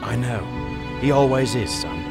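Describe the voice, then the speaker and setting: A lively, hearty farmer father. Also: medium pitch, normal speed, creaky. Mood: warm, proud. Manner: folksy, hearty, rustic turns of phrase. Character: warm, hardworking 19th-century farmer father. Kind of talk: family audio drama acting. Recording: loud music behind the voice.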